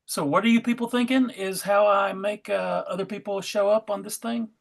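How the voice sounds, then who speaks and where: A man's voice: high-pitched, fairly even intonation, moderate pace, creaky. Jokey American man hosting. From Alabama, United States. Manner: jokey, playfully exaggerated. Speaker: host. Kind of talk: casual livestream chat. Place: video call.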